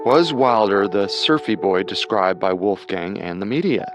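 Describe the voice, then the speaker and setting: Guy hosting a crime podcast, deepish voice, scripted dramatic storytelling, faint music behind. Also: animated, unhurried. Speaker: podcast co-host and narrator. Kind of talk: scripted true-crime narration.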